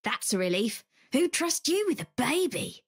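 Husky, cocky game fighter. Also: female voice, high-pitched, very animated, moderate pace, exaggerated voice. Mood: teasing. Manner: taunting. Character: cocky, teasing, deadly female rival.

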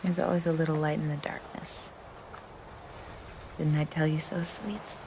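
An actress playing a tender, sorrowful young woman in a video game, speaking softly and intimately with a breathy voice. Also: sits low, steady tone, a little slow, slight background noise, low-quality recording. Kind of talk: video game drama acting.

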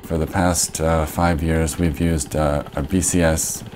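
Young american farmer guy, super deep monotone voice, faint hiss behind him. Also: measured pace. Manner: matter-of-fact, methodical. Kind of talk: documentary interview. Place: living room, indoors. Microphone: lapel mic.

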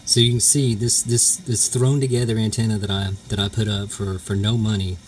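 A middle-aged man giving a casual hands-on demonstration in a halting, hesitant way, recorded on a handheld camera. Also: on the low side, flat delivery, a little hiss or hum. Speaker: homestead vlogger. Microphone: handheld camera, speaker behind it.

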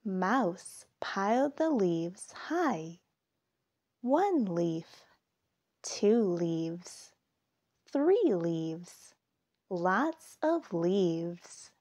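A woman reading a children's picture book aloud, with deliberate phrasing and expressive emphasis. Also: on the low side. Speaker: storybook reader.

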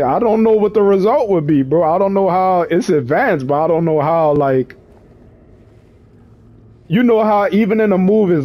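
A man's voice: a little high, lo-fi sound. A man in casual chat, talking in heavy slang with lots of swearing and frequent laughter. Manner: slangy, profane, laughs often.

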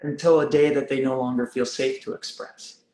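Calm guy in his 30s coaching viewers live on his phone, slow and gentle, echoey room. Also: mid-range voice. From South Africa, raised in Canada. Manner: calm, gently guiding, slow deliberate phrasing. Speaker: wellness host. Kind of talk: live-stream coaching chat. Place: room indoors.

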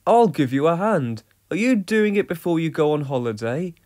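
A woman's voice: sits low, very animated, moderate pace, darkish tone. A woman doing a bluff, jolly village handyman.

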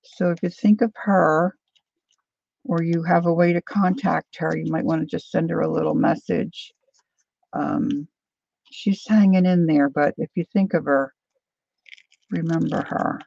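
A woman chats softly and unhurriedly with viewers as she works at a craft table. Her voice is mellow and a little gravelly. The live stream audio is dry and slightly dull. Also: low-pitched, steady tone, no room sound. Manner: soft, unhurried, chatty, greets viewers. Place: indoor craft table, overhead camera.

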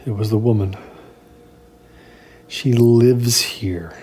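A man performing a first-person horror story like a spoken diary, in a halting, uneasy, confiding way. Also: mid-range voice, a little mellow. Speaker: narrator of a horror audio drama. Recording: clear background noise.

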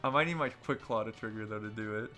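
A man's voice: on the low side, quick pace, pushed and bright. A young man bantering playfully and jokily during a casual group gameplay session. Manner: jokey, playful. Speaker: online gaming video player. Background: video game music.

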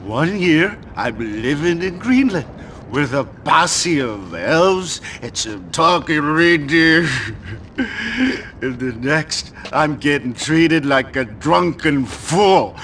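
A man's voice: a little high, fairly bright tone, a little hiss or hum. A man playing a drunken, self-pitying street Santa in a video game, whining and rambling. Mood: pleading, rambling. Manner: whiny, rambling, maudlin. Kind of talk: video game cutscene acting.

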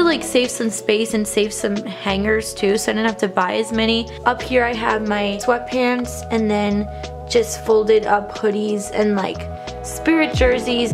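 A young woman with a slightly high, raspy voice, a little stuffy from allergies. She rambles cheerfully with lots of "like" and "um" while filming her small bedroom on a handheld phone. Music plays clearly underneath her talk. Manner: chatty, upbeat, rambling with fillers. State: congested from allergies. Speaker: college program intern. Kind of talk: casual room tour vlog. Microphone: handheld phone camera.

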